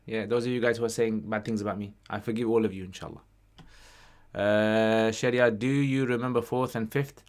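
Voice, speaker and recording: A man's voice: fairly low pitch, steady tone, moderate pace. A man hosting a casual livestream Q&A, reading out questions and answering conversationally, with Arabic phrases sprinkled in.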